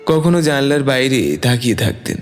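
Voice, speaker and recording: Male narrator for audio fiction, medium pitch, lively intonation, soft music underneath. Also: normal speed. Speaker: story narrator. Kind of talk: scripted dramatic narration. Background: soft background music.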